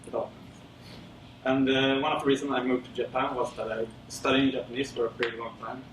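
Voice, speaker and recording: A man from Sweden presenting casually at a tech meetup, with many fillers and a relaxed, rambling delivery. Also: medium pitch, quick pace. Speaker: conference speaker. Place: lecture room. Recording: roomy, distant with audible background noise.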